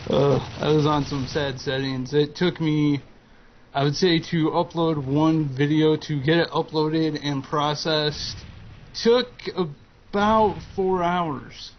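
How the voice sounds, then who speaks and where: A man in his twenties, a guest chatting slowly and hesitantly with frequent ums. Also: on the high side, steady tone. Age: 20s. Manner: slow, hesitant, lots of ums and uhs. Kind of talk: casual chat over gameplay commentary. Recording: dull lo-fi voice-chat audio with faint noise.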